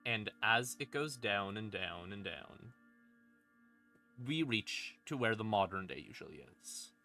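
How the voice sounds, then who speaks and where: Guy streamer doing lore rundown, punchy bright voice, irreverent n sweary, faint creepy music behind him. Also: fairly low pitch, lively intonation, measured pace. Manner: irreverent, sweary, wry asides. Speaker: streamer explaining game lore. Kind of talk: casual, conversational lore explainer. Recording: eerie ambient music behind voice. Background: creepy ambient music.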